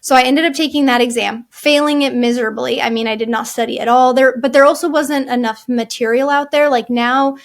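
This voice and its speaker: A woman's voice: sits high, fairly even intonation, a little fast, few pauses. A woman, a guest in an interview, talking casually with many fillers. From Czech Republic, raised in California. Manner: chatty, fillers and restarts, frequent "like" and "you know". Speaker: guest, architect and firm founder.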